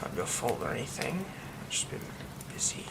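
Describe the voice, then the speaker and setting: A man's voice: moderate pace, natural voice. A man playing a secretive werewolf friend. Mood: hushed, evasive. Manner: hushed, often dropping to a whisper. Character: secretive young man hiding a werewolf curse. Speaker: ASMR roleplay voice actor. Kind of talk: ASMR roleplay drama. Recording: steady rain noise behind the voice.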